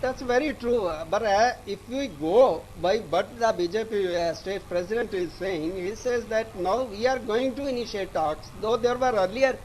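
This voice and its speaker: Indian guy reporter, high voice, stop-start live report, faint noise behind him. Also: a little mellow, poor audio. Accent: Indian English. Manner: halting, repetitive delivery. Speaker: news correspondent.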